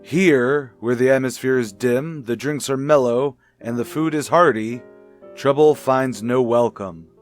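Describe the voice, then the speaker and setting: An American man narrating a mid-century radio mystery as announcer, deliberate and declamatory. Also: medium pitch, faint music behind, lightly acted.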